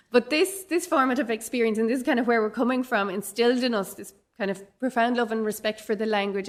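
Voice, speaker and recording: Irish woman from dublin, middle-aged, keynote talk, keen and earnest, lots of ums and restarts. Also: mid-range voice. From Ireland (Dublin). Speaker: university lecturer giving a keynote. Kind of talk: conference keynote presentation.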